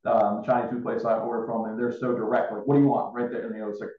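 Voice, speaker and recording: A man's voice: lowish voice, little pitch movement, fast. A man in his thirties chatting casually as an interview host on a video call. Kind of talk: casual chat. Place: indoor room, on a video call. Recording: roomy, dull video call audio.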